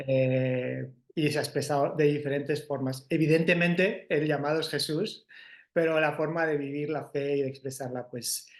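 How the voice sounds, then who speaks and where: A man's voice: a little high, steady tone, normal speed. A man with a Spanish accent from Spain, an event organizer answering interview questions in a reflective way, often tagging his sentences with a questioning "no". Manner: reflective, frequent "¿no?" tags and restarts. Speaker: festival organizer.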